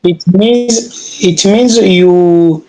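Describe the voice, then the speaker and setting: Slow, halting African man speaking English. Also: high voice, dark tone, poor audio. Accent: East or Central African. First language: Swahili. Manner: halting, searching for words and repeating phrases. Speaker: English learner. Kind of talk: casual conversation practice.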